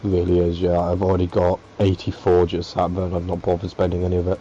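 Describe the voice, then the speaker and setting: A man's voice: low-pitched, completely flat delivery, fast, warm tone, muffled recording, a little hiss or hum. A young man chatting and teasing his co-host on a casual gaming stream, rambling and laughing often.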